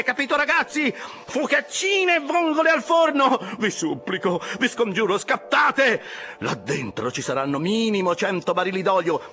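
A man voicing an excitable, greedy whaler who begs his rowers over and over in an audiobook story. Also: sits high, voice pushed up, exaggerated voice. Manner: breathlessly begging, exclamatory, repetitive.

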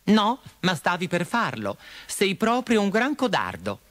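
Guy doing a plucky little girl for a fairy tale, raised pitch, bossy but kind, lively. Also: a little slow, no echo, full character voice. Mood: indignant, scolding. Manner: forthright, chiding.

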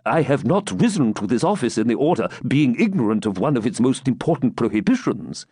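A man's voice: medium pitch, very animated, brisk, mellow, breathy edge, no room sound, studio quality. A man voicing a hypocritical preceptor of a religious house, answering in a deferential, falsely humble tone.

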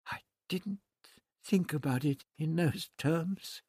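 A man's voice: fairly high pitch, takes it slowly, a little mellow, husky, no room sound, studio quality, voice pushed up, heavily acted. A man voicing an earnest, anguished woman in an audiobook story, with a pleading, emotional delivery. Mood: choked, miserable.

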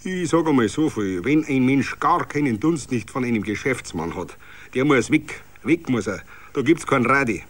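A man's voice: mid-range voice, lively intonation, moderate pace, close to an everyday voice. A man voicing a bitter Bavarian tradesman who rants about politics in a tavern, with indignant, emphatic dialect grumbling. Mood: defiant.

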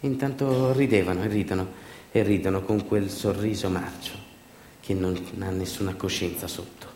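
A man performing a theatrical narrative monologue, emphatic and dramatic, with deliberate repetitions and pauses. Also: low voice, steady tone, a little mellow, a little hiss or hum. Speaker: stage actor and storyteller. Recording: echoey room.

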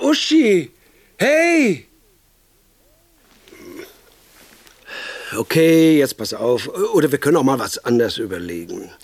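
A tactless, pushy pitchman in a radio play, with a highish male voice that swings widely up and down. He rambles insistently, cuts himself off and bursts into emphasis. The sound is close and dry. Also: no echo, heavily acted. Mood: agitated, pleading. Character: brash, pushy male film idea man, tactless and excitable. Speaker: film pitcher. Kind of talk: radio drama monologue, colloquial film pitch.

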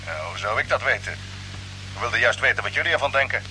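An actor playing a brisk, commanding captain of a Mars expedition in a vintage radio drama. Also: male voice, mid-range voice, animated, sharp tone. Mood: businesslike. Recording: noisy old radio play recording.

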